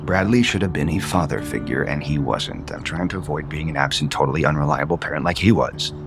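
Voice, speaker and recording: A man's voice: a bass voice, a little fast, creaky, over music. A male actor playing a guarded, conflicted adult son and unhappily married father in an audio soap opera. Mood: bitter, earnest. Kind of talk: naturalistic soap opera acting.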